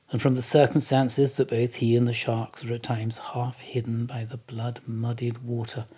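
A man reading a classic novel as an audiobook narrator, expressive and dramatic, with deliberate phrasing. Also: medium pitch, husky.

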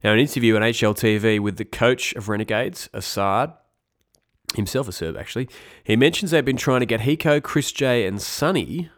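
A man's voice: medium pitch, lively intonation, moderate pace. A young man hosting a casual podcast, congested with a cold, rambling and correcting himself with wry asides. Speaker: podcast host. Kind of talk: casual esports news podcast.

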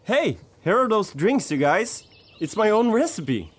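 A man's voice: high voice, sing-song, a little slow, breathy edge, exaggerated voice. A man playing an earnest, sensitive band member who is eager to please, in an amateur radio comedy play. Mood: eager, hopeful.

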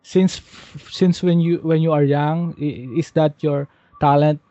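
A man's voice: fairly high pitch, fairly even intonation, a little slow, dark tone, no echo, muffled recording. A man hosting a casual interview in a rambling way, often saying "you know". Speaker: podcast host.

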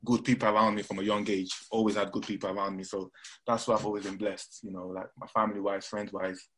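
Husky low-voiced man, Nigerian-London accent. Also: level tone, fast, fairly bright tone. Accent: mixed London and Nigerian. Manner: rambling with frequent fillers and restarts. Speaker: guest. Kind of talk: casual interview chat.

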